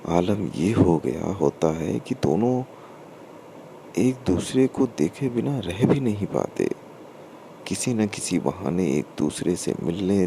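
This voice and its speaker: A man narrating a scripted story as a storyteller, in halting short phrases. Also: low voice, gravelly, dark tone, slight background noise.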